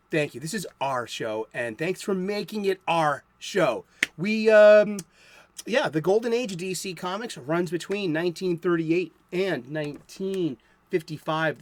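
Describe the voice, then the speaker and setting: A man's voice: sits high, no room sound. A man in his fifties with a light Boston accent, hosting a comic book show in a chatty, rambling, enthusiastic way. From South Boston, USA. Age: 50s. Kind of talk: casual chat to the viewer.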